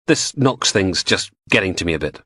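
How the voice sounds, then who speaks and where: A man's voice: low-pitched, expressive, normal speed, bright, forceful tone, breathy edge, no echo, clean studio sound, full character voice. A man doing a sardonic, weary sergeant. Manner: sardonic, defiant. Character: weary male detective sergeant, sarcastic.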